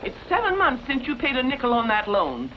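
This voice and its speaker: An actress playing a stern, no-nonsense banker in a 1940s western style, brisk and scolding. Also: female voice, sits high, huge pitch swings, muffled recording. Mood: stern, businesslike. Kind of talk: 1940s western film acting. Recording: hissy old film soundtrack.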